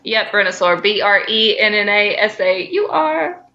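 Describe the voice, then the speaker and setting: A woman's voice: mid-range voice, expressive, normal speed, bright, forceful tone. A woman co-hosting a podcast in casual chat, recorded with a dull, roomy sound. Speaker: podcast co-host.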